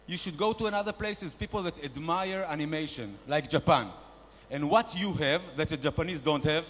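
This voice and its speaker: Man doing a loud, pushy showman investor shark, fairly high voice, echoing through a big hall. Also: moderate pace. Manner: loud, bossy, mock-grand sales pitching. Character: brash boastful male investor "shark", showy and pushy. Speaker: comedian performing at a panel. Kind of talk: stand-up character bit. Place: large conference hall. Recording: reverberant conference hall.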